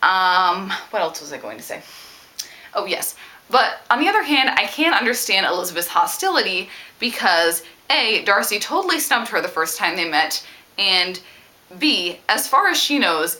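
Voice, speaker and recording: A woman talking casually about a book as a vlogger, with an animated, emphatic and opinionated way of speaking. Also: medium pitch, moderate pace. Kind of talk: casual book discussion to camera.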